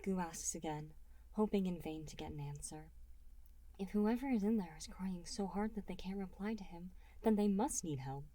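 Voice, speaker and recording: A woman reading a fan fiction story aloud as an audiobook narrator, in an expressive storytelling manner. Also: lowish voice, normal speed, no room sound. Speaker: reader and writer of the story.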